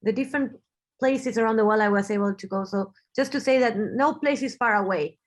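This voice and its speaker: A woman in her thirties from Argentina, giving an earnest online talk over a video call, often restarting her phrases and filling pauses. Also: fairly high pitch. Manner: earnest, halting, many restarts and fillers. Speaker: webinar speaker. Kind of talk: online presentation.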